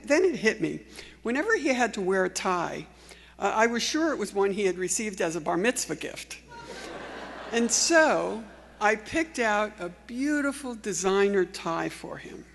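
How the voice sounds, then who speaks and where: Older female memorial speaker, mid-range voice, telling personal stories as a tribute, long hall reverb. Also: medium pitch. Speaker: memorial speaker, former student. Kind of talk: prepared eulogy with personal anecdotes. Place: large music hall, at a memorial event.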